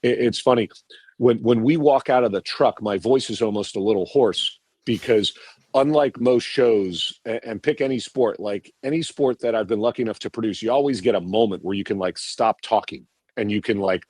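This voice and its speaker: A middle-aged man with a low voice explains the work behind a live TV show. He talks in loose, rambling runs, doubling back on his words. The sound is dry and close. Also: moderate pace, a little mellow, breathy edge, no echo. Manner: rambling with many restarts and repeated words. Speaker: TV producer guest. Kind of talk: podcast interview answers.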